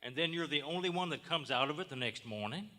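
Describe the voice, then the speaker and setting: Man playing a blunt sheriff, forceful. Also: a little high, expressive, fast. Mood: probing. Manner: folksy, blunt, badgering. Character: gruff, suspicious, hard-nosed Southern sheriff in his office. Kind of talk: 1940s radio drama acting. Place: large theater stage, live performance. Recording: live theater stage, long hall reverb.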